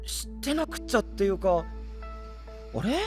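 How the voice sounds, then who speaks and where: A man's voice: high-pitched, normal speed, faint music behind. A man playing an earnest, boyish, open-hearted young knight in an anime voice drama. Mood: puzzled. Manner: boyish, casual, expressive.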